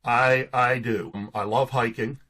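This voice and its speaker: A man's voice: medium pitch, steady tone, measured pace, pushed and bright. A man in his late sixties, a pastor and guest, chatting and telling stories in an interview, often repeating words. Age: 60s. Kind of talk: casual storytelling interview talk.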